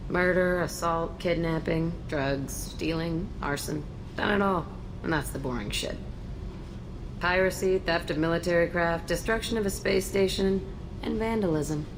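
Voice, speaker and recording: An actress playing a defiant, hardened young ex-gang convict in a video game, blunt and cynical. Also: female voice, fairly low pitch, unhurried. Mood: boastful, cynical. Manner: blunt, cocky, dismissive. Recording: noisy game ambience.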